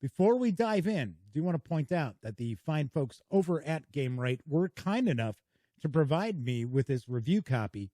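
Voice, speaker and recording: A man's voice: fairly high pitch, big pitch swings, normal speed, dark tone, no echo. A man hosting his own board game channel, giving a casual, chatty review to camera in a folksy, welcoming way. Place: small home studio.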